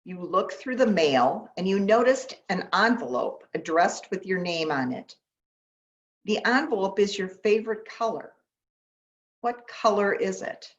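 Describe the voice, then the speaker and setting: A woman speaks as a pastor leading a guided meditation, with a medium-pitched voice. She goes very slowly and gently, leaving long pauses between instructions. The recording is somewhat dull and lo-fi. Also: expressive. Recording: dull, lo-fi sound.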